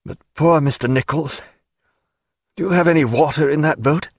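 A man playing a weary, courteous but testy gentleman castaway in an audiobook, with formal, dry phrasing. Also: a little high, moderate pace, no room sound, voice pushed up. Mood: weary, polite. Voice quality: croaky when weak. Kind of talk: audiobook character voice.

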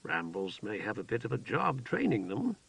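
A man voicing a calm, kind older wizard who speaks softly and plainly. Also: fairly low pitch, moderate pace, vocal fry, breathy edge, exaggerated voice. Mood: gentle. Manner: soft-spoken, warm and plain. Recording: dull lo-fi dry recording.

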